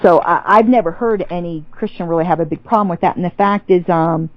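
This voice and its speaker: A woman's voice: lowish voice, brisk, dry recording, highs cut off. A woman on a podcast as a guest, talking through theology in a thoughtful way with many restarts and fillers. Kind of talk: casual theological interview.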